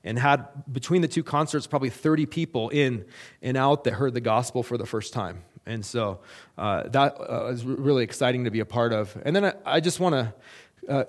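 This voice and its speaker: A middle-aged man speaks at a middle pitch as a missionary reporting back to a church. He talks earnestly and haltingly, often pausing on fillers and restarting phrases. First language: English.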